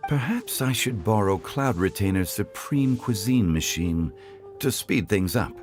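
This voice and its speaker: Video game role: refined mature man, low voice with lively intonation, measured and formal, unhurried. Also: faint music behind. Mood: composed. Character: calm, courteous mature gentleman, unhurried and refined. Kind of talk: video game character dialogue.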